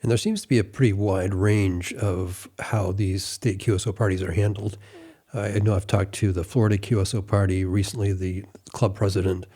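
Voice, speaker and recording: A man's voice: deep voice, steady tone, moderate pace, dark tone. A man hosting a conversational interview, asking long, curious questions. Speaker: podcast host, interviewer.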